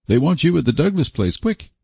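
Storybook countryman, acted by a man, solemn, cryptic, folksy, raised swinging pitch, dry close recording. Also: very animated, brisk, creaky, a little mellow, slightly rough, dry recording, heavily acted. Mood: urgent. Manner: folksy, dour rustic talk. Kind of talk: audiobook character voice.

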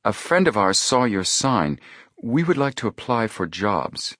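A man's voice: on the low side, very animated, unhurried, a bit bright, no room sound, clean studio sound, voice pushed up, full character voice. Man voicing anxious, polite teenage girl sleuth. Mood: nervous politeness. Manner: polite, nervous.

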